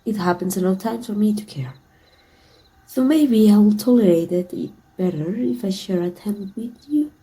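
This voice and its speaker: A woman from Mexico voicing a teasing, tender female villain in an intimate ASMR roleplay, soft and breathy with some whispering. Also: mid-range voice, moderate pace, natural voice, poor audio. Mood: flirtatious, teasing.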